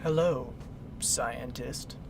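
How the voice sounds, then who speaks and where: An actor playing a naive, newly activated android son with exaggerated delivery in a comedic radio play. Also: male voice, mid-range voice, very slow, creaky, background noise. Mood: blank, creepy. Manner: exaggerated, changes delivery on command. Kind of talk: comedic radio theatre acting.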